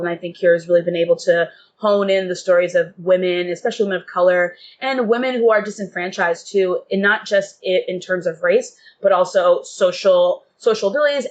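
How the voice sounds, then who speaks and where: Earnest woman in interview, faint background noise. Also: fairly low pitch. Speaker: theatre guest and reader.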